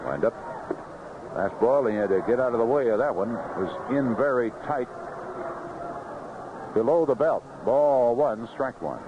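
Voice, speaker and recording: A man's voice: mid-range voice, lively intonation, a little slow, pauses often, narrow, tinny audio, background noise. A man calling live baseball play-by-play as a radio announcer on a vintage 1970s broadcast. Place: outdoor ballpark broadcast booth.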